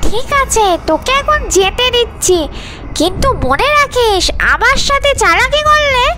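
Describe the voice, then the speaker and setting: A woman's voice: extremely high pitch, animated, measured pace, noisy background. A woman voicing a menacing, greedy female ghost in a cartoon fairy tale, with threatening, taunting delivery. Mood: suspicious.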